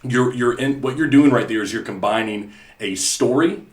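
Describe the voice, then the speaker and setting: A man's voice: mid-range voice, moderate pace, reverberant. A male podcast host in casual coaching chat, earnest and repetitive, often saying "you know". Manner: earnest, repeats himself, many "you know"s.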